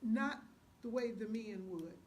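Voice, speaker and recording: A woman's voice: fairly high pitch, lively intonation, measured pace, darkish tone, slight background noise. A woman guest telling her story casually in an interview, emphatic and testifying, quoting dialogue as she goes. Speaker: playwright guest.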